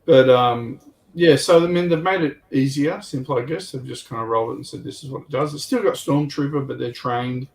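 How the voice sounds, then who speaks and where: A man's voice: mid-range voice, a little fast, creaky, recorded from a distance. A man on a hobby livestream panel, commenting casually on a tabletop game and thinking aloud in a hesitant, rambling way.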